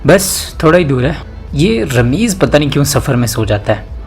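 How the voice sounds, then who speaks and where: A man playing a lively young university student who loves adventure, in an audio drama. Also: on the high side, normal speed, faint music behind. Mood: casual. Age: young adult.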